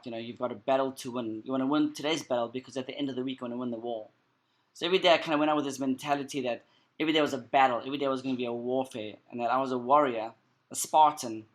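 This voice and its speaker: A man with a South African accent and a medium-pitched voice speaks as an interview guest. His first language is Creole Portuguese. He talks conversationally and reflectively, building earnest personal stories through emphatic repetition. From South Africa and Cape Verde. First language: Cape Verdean Creole Portuguese.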